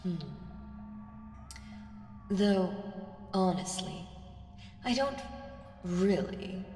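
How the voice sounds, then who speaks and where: A woman voicing an ancient evil entity in an ASMR audio roleplay, smug, seductive and condescending, with a teasing delivery that slips into breathy whispers. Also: fairly low pitch, darkish tone. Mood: smug reassurance. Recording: echoey hall reverb, distant, music behind.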